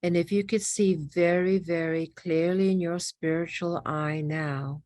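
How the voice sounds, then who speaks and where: Slow, low-voiced woman guiding meditation. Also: fairly even intonation, darkish tone, no room sound, clean studio sound. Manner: reverent, incantatory, repeating phrases in unhurried fragments. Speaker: guided meditation leader. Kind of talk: guided meditation, spiritual channeling.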